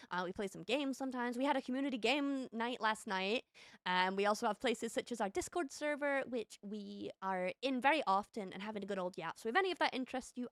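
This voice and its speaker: A woman's voice: medium pitch, a bit bright, dry recording, studio quality. A young woman from the UK with a light British accent that leans a bit American, chatting casually and playfully with her stream viewers. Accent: light British, partly American-sounding. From United Kingdom. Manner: bubbly, giggly, playfully sassy. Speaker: streamer, VTuber artist. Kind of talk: casual live-stream chat reading viewer messages.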